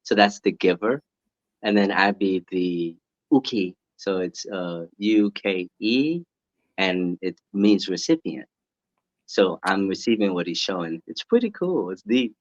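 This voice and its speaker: A man's voice: low-pitched, expressive, a little slow, no room sound, dull audio. A man from the US East Coast, a podcast guest, chatting casually and laughing easily with many fillers. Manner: laid-back, laughs easily, lots of fillers. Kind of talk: casual interview chat.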